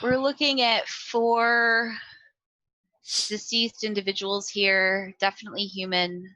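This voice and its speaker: A woman's voice: mid-range voice, flat delivery, slow pace, pushed and bright, no echo, lo-fi sound. A woman playing a tabletop role-playing game, chatting casually in an eager, confident way. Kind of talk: casual in-game chat with friends.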